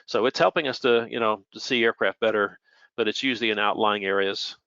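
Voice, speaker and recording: A man's voice: fairly low pitch, fairly even intonation, a little fast, gravelly, sharp tone, clear, resonant tone, no echo, lo-fi sound. A plainspoken, matter-of-fact man giving an informal technical explanation as an expert air traffic controller.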